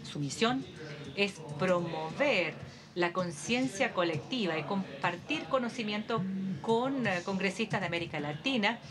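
A woman giving a simultaneous interpretation of a formal conference speech in a steady, measured delivery with occasional hesitations. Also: medium pitch, over music. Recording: audience murmur beneath the voice.

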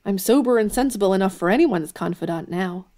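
A woman's voice: mid-range voice, lively intonation, a little fast, warm tone, close to an everyday voice. An actress playing an earnest, self-doubting young woman writer in an audio drama, frank in manner. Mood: frank, affectionate. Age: young adult.

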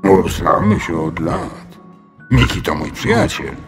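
A man's voice: sits low, very animated, slow, faint music behind, voice pushed down, heavily acted. A man voicing a big, friendly, bumbling male dragon in a children's audio story, with hesitant, drawling, sheepish speech.

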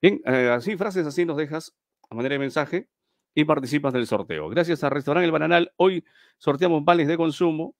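A man's voice: on the high side, animated, a little fast, no echo, studio quality. A middle-aged man hosts a live stream giveaway from a small room on a webcam, chatting, repeating himself and reading out viewers' names.

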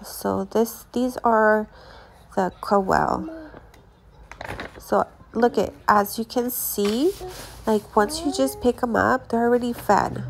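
Congested young woman, gravelly and chatty. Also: medium pitch, a little hiss or hum, poor audio. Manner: chatty, relaxed, with many fillers and restarts. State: congested from allergies. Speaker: lash technician reviewing products. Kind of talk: casual product review vlog.

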